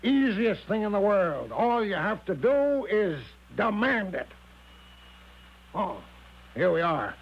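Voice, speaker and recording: A man's voice: high voice, sing-song, slow, slightly rough, heavily acted. An actor playing an eccentric, boastful American major in a 1970s radio drama, coaxing and reassuring with a showman's grand patter. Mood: encouraging, grand. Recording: dull, noisy 1970s radio recording.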